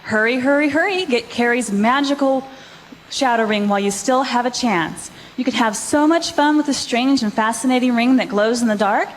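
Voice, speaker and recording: A woman as an excited vintage radio announcer, enthusiastically urging children to buy. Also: a little high, normal speed, in a large hall, slight background noise, close to an everyday voice, poor audio. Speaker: commercial announcer.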